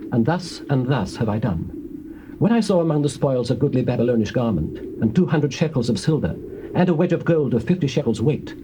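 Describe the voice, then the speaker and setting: A man's voice: mid-range voice, natural voice. Casting: man as guilty penitent, widely swinging intonation, declamatory, muffled noisy tape. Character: Achan, guilty male sinner confessing theft. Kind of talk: dramatized scripture reading. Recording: dull, noisy old cassette tape.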